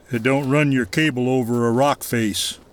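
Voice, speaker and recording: Male actor as genially tough frontier paymaster, wry and folksy, medium-pitched. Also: fairly even intonation, takes it slowly, close to an everyday voice. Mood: amused, wry.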